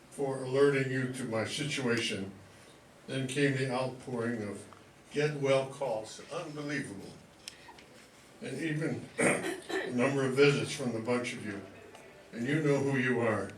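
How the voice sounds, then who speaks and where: Older male guest speaker, mid-pitched, halting and drily humorous, distant in a live room with echo. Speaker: guest speaker, amateur writer and actor. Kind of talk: informal thank-you speech. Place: room before a live audience. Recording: echoey room, distant pickup, faint noise.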